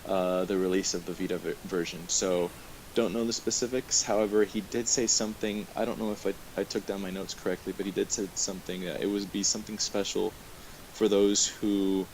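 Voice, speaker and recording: A man hosting a webcast, running through news from his notes in a casual, halting way. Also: sits low, steady tone, darkish tone. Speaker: webcast host. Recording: hissy, noisy online webcast audio.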